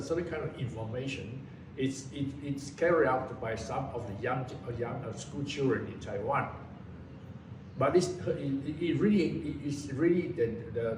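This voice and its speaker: A man in his seventies from Malaysia, giving a personal testimony in a halting way, often restarting and correcting himself. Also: mid-range voice. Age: 70s. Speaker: interviewee recounting his past. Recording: roomy echo from a distant mic, noisy background.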